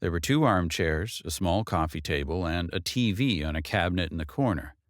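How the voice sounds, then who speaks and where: A man reading a novel as an audiobook narrator, in a measured, expressive delivery with drawn-out phrases. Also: sits low, dry recording, clean studio sound.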